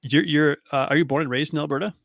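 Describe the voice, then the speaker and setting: A man's voice: fairly high pitch, steady tone, quick pace, gravelly, fairly bright tone, no echo, clean studio sound. A man hosting a podcast interview, encouraging his guest with short affirmations. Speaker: podcast host. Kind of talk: casual interview.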